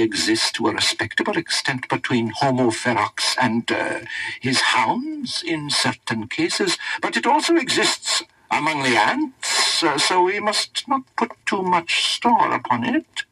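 A man's voice: fairly high pitch, pushed and bright, breathy edge, voice pushed up, full character voice, rough recording. A man voicing a learned, opinionated old wizard tutor, lecturing pedantically in a storybook reading.

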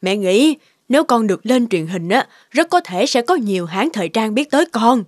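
Anxious, high-voiced overprotective cartoon mother. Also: very animated, moderate pace, no echo. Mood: coaxing. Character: anxious, overprotective middle-aged mother of a grown son. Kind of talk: animated moral tale dialogue.

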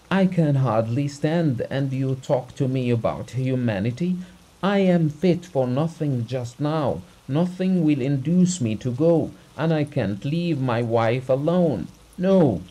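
A man's voice: medium pitch, warm tone, slightly rough, rough recording. A man voicing a grief-stricken, exhausted doctor in a story, refusing emphatically and irritably, with alarm in his manner.

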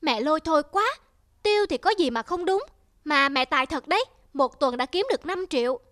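A woman voicing a selfish young adult son in an animated moral tale, whining and complaining demandingly. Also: high voice, brisk, no room sound, clean studio sound. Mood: impatient. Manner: petulant, complaining.

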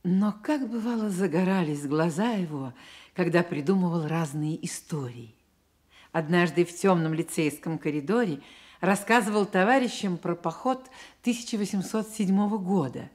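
A woman narrating a radio drama about a poet's life as an expressive literary storyteller. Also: fairly low pitch, natural voice.

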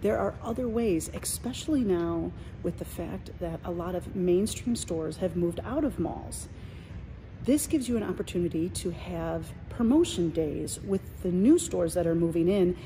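Middle-aged woman, mellow voice, eager walking vlog, phone at arm's length, faint mall hum. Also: lowish voice, expressive, normal speed. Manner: chatty, enthusiastic, points things out as she walks. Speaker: small business coach. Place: large indoor shopping mall. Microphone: phone held at arm's length.

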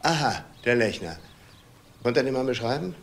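A man's voice: mid-range voice, expressive, moderate pace, close to an everyday voice. An actor playing a middle-aged married man in a crime drama, controlled and probing, with clipped questions. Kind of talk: screen crime drama dialogue. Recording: faint background noise.

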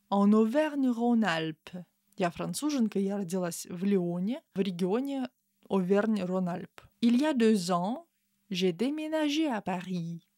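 A young woman teaching a language lesson. She says the example phrases slowly and carefully and explains them more quickly. She speaks into a studio mic in a small room. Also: medium pitch, warm tone, no room sound. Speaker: French teacher. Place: small room with a window, indoors. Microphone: studio mic in front of her.

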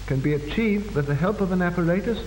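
A man's voice: high-pitched, a little mellow, a little hiss or hum. Echoey vintage 1940s male film narrator. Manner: formal, measured, precise enunciation.

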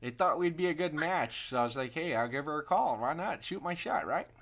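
Rambling man with animated, swooping pitch. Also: a little fast. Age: 30s. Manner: rambling, hesitant, feigning awkwardness. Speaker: prank caller and livestream host. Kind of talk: improvised prank call, casual chat.

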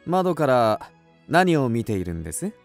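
A quirky, clever young amateur sleuth in a radio drama. He is affable and unhurried, his pitch swinging freely over faint music. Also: sing-song, vocal fry.

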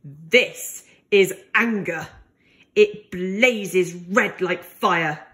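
A woman reading a picture book aloud to young children in a gentle, deliberate and expressive way. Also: fairly low pitch.

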